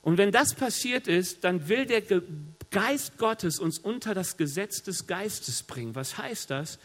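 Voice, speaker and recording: A middle-aged man with a cold, preaching a sermon in a lively, conversational way with joking asides. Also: sits high, a little slow. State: has a cold. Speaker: pastor. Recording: long hall reverb.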